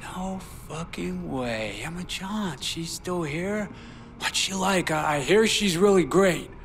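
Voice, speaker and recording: An actor playing a lonely, jittery war veteran obsessed with movies in a video game, halting and rambling, eager and pleading. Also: male voice, sits high, animated, background noise, full character voice. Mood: excited. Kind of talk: naturalistic video game acting.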